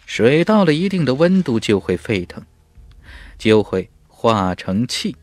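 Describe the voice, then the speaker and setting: A man narrating an audiobook in a dramatic, emphatic storytelling style with measured phrasing. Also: medium pitch, no room sound.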